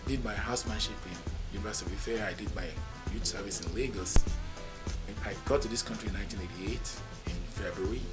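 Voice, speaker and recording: Middle-aged man, steady measured telling. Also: lowish voice, loud music behind the voice, rough recording. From Nigeria. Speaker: cardiologist interviewee. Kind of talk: interview answers, personal story.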